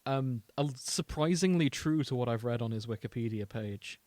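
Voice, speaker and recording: A man's voice: mid-range voice, normal speed, a little mellow, dry recording. A man co-hosting a podcast, chatting casually and telling stories in a wry, mock-serious way. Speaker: podcast host. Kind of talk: casual comic chat.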